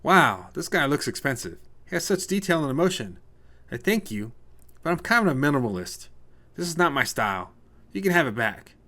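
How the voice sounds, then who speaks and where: A man's voice: a little high, sing-song, brisk, bright, forceful tone, voice pushed up. A man voicing a tired, cranky new homeowner in an audiobook story, dry and grumbling with a sarcastic edge. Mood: tired, polite refusal. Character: tired, cranky male newcomer, dryly sarcastic minimalist. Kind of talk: audiobook character voice.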